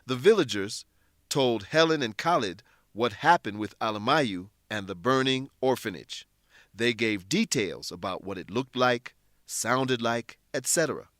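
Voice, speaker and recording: A man narrating a scripted English lesson in a deliberate, instructional manner. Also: medium pitch, lively intonation, a bit bright, dry recording, studio quality.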